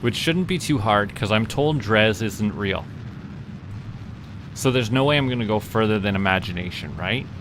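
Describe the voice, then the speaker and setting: A man's voice: fairly low pitch, lively intonation, moderate pace, noisy background. A man with a Canadian accent giving casual gameplay commentary as a livestream host to a live chat. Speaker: livestream host playing a space simulation game. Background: music.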